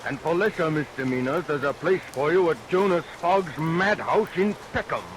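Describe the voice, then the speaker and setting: An actor playing a menacing, tyrannical villain barber in a Victorian melodrama, oily and mock-polite with sharp threats. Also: male voice, fairly high pitch, lively intonation, normal speed, natural voice. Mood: threatening. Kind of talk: Victorian melodrama radio acting. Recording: vintage radio recording under rain noise.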